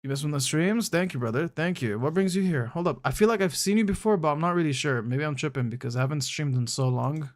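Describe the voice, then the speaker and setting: Young guy streamer, kinda high voice, chill and chatty, says bro a lot, trips over his words. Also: fast talker, hardly any pauses. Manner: laid-back, slangy, calls chatters bro; thinks aloud with frequent restarts. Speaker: live streamer. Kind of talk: casual live-stream chat answering viewers.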